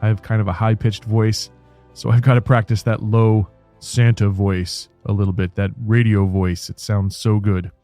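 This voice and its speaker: Mellow-voiced male radio host. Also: on the low side, level tone, moderate pace, no room sound, faint music behind. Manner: friendly, chatty, with frequent fillers and restarts. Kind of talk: casual interview chat.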